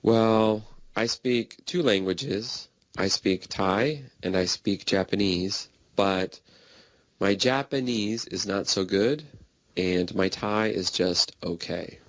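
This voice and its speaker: A man speaking in plain, simple sentences in a slow conversation practice recording. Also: on the low side, no room sound, poor audio. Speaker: English learner in a practice dialogue.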